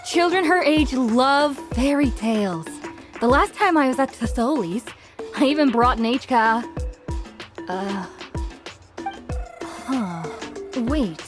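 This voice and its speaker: Forgetful young villager, high and lively. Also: female voice, slow pace, long pauses. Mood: puzzled. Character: friendly, forgetful young village woman, chatty and sincere. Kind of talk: English video game voice acting. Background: music.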